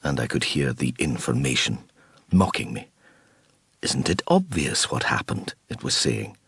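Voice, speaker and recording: Casting: brooding, haunted psychic detective narrator, very low husky voice, radio play. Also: male voice, animated, unhurried, fairly bright tone, no room sound, clean studio sound, heavily acted. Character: haunted, troubled male psychic detective narrating his story. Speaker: narrator and lead character. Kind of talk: radio drama first-person narration.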